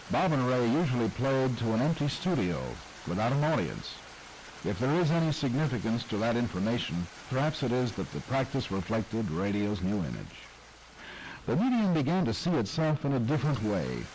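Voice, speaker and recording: A man's voice: medium pitch, very animated, darkish tone, slight background noise, rough recording. Reflective male documentary narrator. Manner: measured, reflective. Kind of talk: scripted narration.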